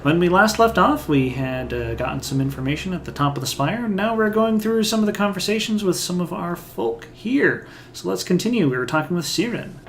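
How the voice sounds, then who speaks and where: A man's voice: high-pitched, expressive, a little fast, hardly any pauses. A man hosting a let's play, narrating a video game in an upbeat, chatty way.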